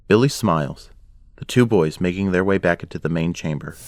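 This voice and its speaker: A man's voice: sits low, fairly quick, heavy background noise. A man delivering scripted, dramatic third-person narration for an audio drama.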